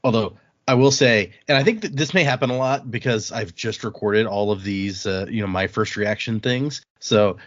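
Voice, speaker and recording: A man with a fairly low voice talks informally as a show host discussing a book. He is chatty and tends to digress. The audio is slightly dull and lo-fi. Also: moderate pace, few pauses. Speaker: podcast host.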